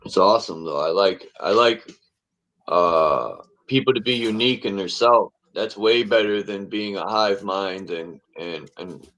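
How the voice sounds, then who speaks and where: Male stream host, low pitch, laid-back rambling chat. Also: a bit bright, low-quality recording. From Connecticut, USA. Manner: loose and rambling, with halting restarts. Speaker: livestream panel host. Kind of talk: casual livestream chat.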